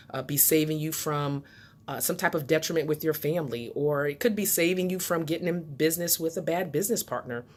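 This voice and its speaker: Low-pitched woman giving a motivational talk. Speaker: motivational speaker and channel host.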